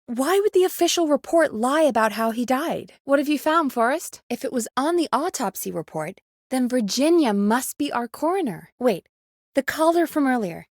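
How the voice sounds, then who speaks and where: A young female radio producer in a video game, with a high voice and a close, dry sound. She is earnest and capable but anxious, speaking naturally with small hesitant asides. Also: big pitch swings, normal speed, dry recording, full character voice. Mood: puzzled, concerned.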